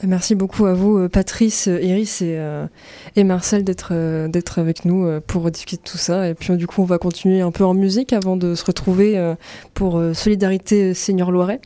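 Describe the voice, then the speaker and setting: Female radio host, casual and halting, soft dark tone, slightly low and level. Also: fairly even intonation. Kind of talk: casual live interview.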